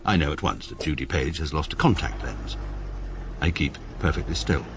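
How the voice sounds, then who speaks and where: An actor playing a pompous, precise middle-aged English barrister, narrating his own life deadpan in an upper-class accent for a radio comedy drama. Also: a bass voice, normal speed, background noise.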